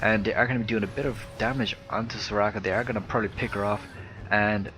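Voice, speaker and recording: A man with an Irish accent and a soft, slightly lispy voice, giving live video game commentary into a close headset mic. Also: fairly low pitch, fairly even intonation, quick pace, slight background noise. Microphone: headset close to the mouth.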